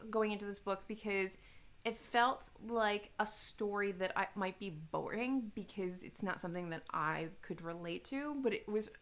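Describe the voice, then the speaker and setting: Casual book-vlog host: woman, mid-pitched, emphatic and gushing, informal, self-deprecating. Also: a bit bright. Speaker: book reviewer, video host.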